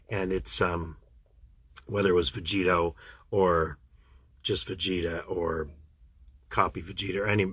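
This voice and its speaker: A man from Canada, a voice actor, chatting casually with fans on a livestream and answering their questions. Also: no room sound. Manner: chatty and animated, jumps up in pitch when excited. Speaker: voice actor hosting a fan livestream. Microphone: phone livestream.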